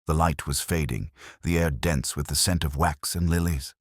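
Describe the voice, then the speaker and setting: A man giving scripted first-person detective narration in a measured, reflective, solemn manner. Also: a bass voice, no room sound, clean studio sound.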